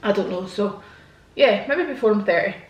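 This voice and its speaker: A woman's voice: medium pitch, lively intonation, moderate pace, roomy sound, highs cut off. A woman, chatting frankly and casually to her viewers while answering their questions. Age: 20s. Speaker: vlogger. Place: home, indoors. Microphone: camera mic.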